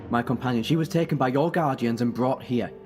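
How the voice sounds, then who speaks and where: A man's voice: mid-range voice, a little fast, darkish tone, natural voice. A man acting in an audio drama as an intense, protective, heroic time-travelling alien. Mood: angry, threatening. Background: music.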